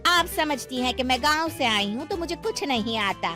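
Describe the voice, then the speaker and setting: Piercingly high, sassy young cartoon daughter-in-law. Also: female voice, expressive, moderate pace, pushed and bright, soft background music. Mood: defiant, irritated. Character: young village-born daughter-in-law, sassy, fussy, sweet-talking. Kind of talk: animated moral story voice acting.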